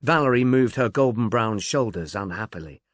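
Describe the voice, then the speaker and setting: A man reading scripted literary narration as an audiobook narrator, in a measured, articulate storytelling manner. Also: fairly low pitch, lively intonation, no echo, studio quality.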